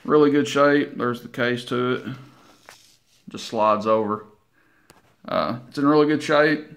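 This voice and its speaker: A man giving a casual, unhurried hands-on demonstration in a folksy, informal way. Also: medium pitch. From Tennessee, United States. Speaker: CB radio hobbyist presenting his equipment. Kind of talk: casual hands-on demo narration. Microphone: handheld camera mic, operator behind it.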